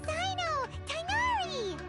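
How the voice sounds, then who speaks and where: A woman voicing a tiny, childlike fairy companion in a video game, excitable and chatty. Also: very high-pitched, slow pace, over music, heavily acted. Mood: excited. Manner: refers to self in third person, exclaiming. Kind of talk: video game character voice acting.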